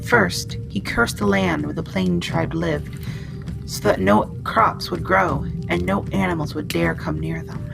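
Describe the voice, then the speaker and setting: Woman voicing a gravelly, gentle wendigo over music. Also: on the low side, fairly even intonation, measured pace, low-quality recording. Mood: solemn storytelling. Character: friendly female wendigo, gentle storyteller, nervous and caring. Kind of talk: ASMR roleplay, storytelling.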